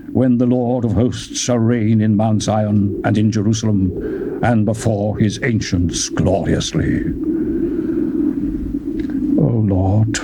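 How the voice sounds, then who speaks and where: A man reads scripture in a solemn, declamatory way, climbing to fervent peaks. His voice sits in a middle pitch. A clear hiss from the old tape runs under every line. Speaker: Bible reader. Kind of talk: dramatized scripture reading.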